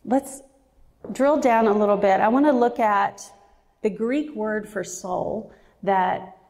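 A woman in her forties preaching a sermon, earnest and reflective, slowing down to stress key words. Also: medium pitch, vocal fry, a little mellow. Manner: earnest, reflective, slows down for emphasis. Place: church. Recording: reverberant church hall.

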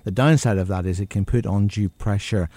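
A male radio presenter asking questions in a measured way, searching for his words. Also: lowish voice, mellow, no room sound. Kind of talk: radio interview.

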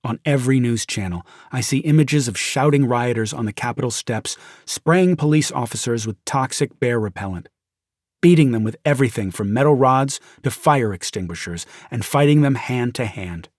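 A man with an American accent reads a nonfiction audiobook in a measured, grave and deliberate way, with dramatic weight. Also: medium pitch, expressive, a little mellow, no room sound, studio quality. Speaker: audiobook narrator. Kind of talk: dramatic scripted audiobook narration of a nonfiction memoir. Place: dry recording studio. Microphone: close studio microphone.

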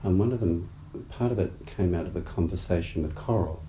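A man with a low, very dark and mellow voice, croaky tonight, gives a meditation talk. He speaks slowly and thoughtfully, pausing often and restarting his sentences. The recording sounds roomy, with a faint hiss behind him. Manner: halting, thoughtful, many fillers and restarts. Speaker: meditation teacher. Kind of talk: dharma talk. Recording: roomy echo, faint background noise.

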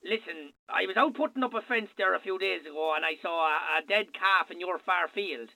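A man acts a neighbourly Irish farmer in a radio drama. His voice is strikingly sharp with plenty of creak, and fairly high. He speaks haltingly and with concern. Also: dry recording, heavily acted. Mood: concerned, hesitant. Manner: halting, solicitous. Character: neighbourly, hesitant farmer making a phone call. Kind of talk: naturalistic radio drama acting.

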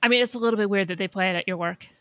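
A woman's voice: medium pitch, very fast, a bit bright, dry recording, clean studio sound. A woman co-hosting a podcast, debating films casually in a blunt, argumentative, sweary way. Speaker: podcast co-host.

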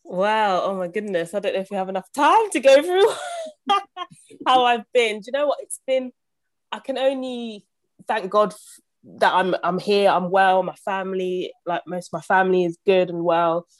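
Animated woman in her thirties, quick laughs, close and dry sound. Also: medium pitch, dry recording. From born in Uganda, raised in Southeast London. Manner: animated and chatty, laughs often, many restarts. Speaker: podcast guest, property investor. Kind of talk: casual interview chat.

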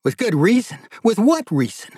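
A man's voice: mid-range voice, unhurried, dry recording, clean studio sound, lightly acted. A man voicing an agitated, impatient older brother with a thick Manhattan accent, whining and incredulous. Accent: New York (Manhattan). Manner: incredulous, whiny, exasperated.

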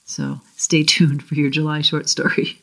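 A woman from Boston's South Shore with a Boston accent, reading her own first-person short story aloud in a measured, deliberate way. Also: deep voice, flat delivery, gravelly, a bit bright.

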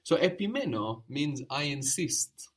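A man's voice: a little high, very animated, a little slow, slightly rough. A man teaching a language lesson, patiently prompting his student with questions and brief praise.

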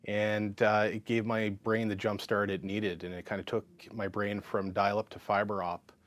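A man's voice: fairly low pitch, normal speed. Frank man in his thirties. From Canada. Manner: frank and lively, full of fillers and restarts. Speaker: counselor and psychotherapist, interview guest. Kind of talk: casual interview storytelling.

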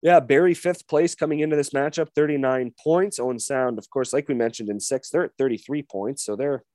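A man's voice: mid-range voice, a little fast, darkish tone, dry recording, clean studio sound. A man co-hosting a sports podcast, running through game details in a casual chat. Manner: rundown of game details, hedging fillers. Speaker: podcast co-host.

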